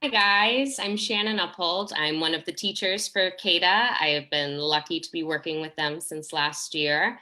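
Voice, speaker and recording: A woman's voice: on the low side, normal speed, few pauses, bright, forceful tone. A woman speaking effusively and enthusiastically in a casual discussion, as a theater director and teacher.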